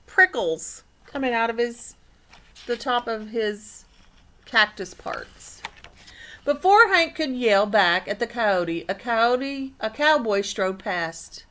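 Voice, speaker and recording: Female teacher for children's read-aloud: fairly high, crisp-edged bright voice, pauses to explain and quiz listeners. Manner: explanatory, asks listeners questions. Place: indoor room.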